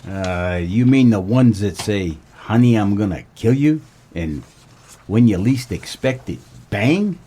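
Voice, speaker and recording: A man playing a deadpan, down-on-his-luck private eye with a husky voice in a comic noir radio play. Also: fairly low pitch, measured pace, a little hiss or hum, pitched below the performer's own voice.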